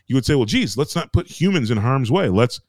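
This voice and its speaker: A man's voice: mid-range voice, sing-song, brisk, darkish tone, no echo, clean studio sound. An American man co-hosting a podcast, ranting in casual chat, emphatic and repetitive, with restarts and swearing. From United States. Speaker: podcast co-host.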